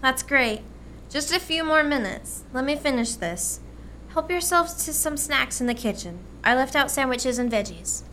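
A woman playing a brisk, patient American mother in a radio play, gently coaxing in a motherly way. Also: sits high, animated, a little hiss or hum. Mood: busy, patient.

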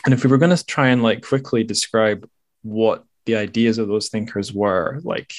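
Guy in his 20s hosting a podcast, lowish voice, wry and informal, really dry sound. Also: fairly even intonation, moderate pace, no echo. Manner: informal, wry, self-deprecating. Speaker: podcast host. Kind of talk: casual explanatory discussion.

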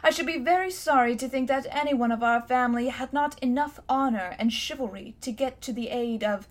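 A woman's voice: fairly high pitch, normal speed, lightly acted. A woman voicing a pompous, cruel elderly male magician who talks down in a lofty, blustering way. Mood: haughty.

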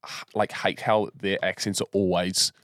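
A man's voice: deep voice, little pitch movement, normal speed, fairly bright tone, no echo, clean studio sound. A young man co-hosting a podcast, rambling with swearing, laughs and restarts. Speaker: podcast co-host. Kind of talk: casual banter.

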